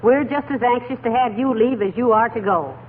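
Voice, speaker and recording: Actress as a wry housewife, thin old radio. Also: female voice, a little high, a little fast, phone-quality sound, a crowd in the background, close to an everyday voice. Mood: weary, placating. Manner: dry, scolding, wisecracking. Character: sharp-tongued, wry housewife hostess with frayed patience. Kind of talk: 1940s radio comedy acting. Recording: thin, noisy 1940s radio recording.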